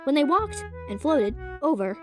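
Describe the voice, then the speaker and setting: A woman's voice: sits high, big pitch swings, measured pace, a bit bright, faint music behind. A woman reading scripted narration for an audio drama.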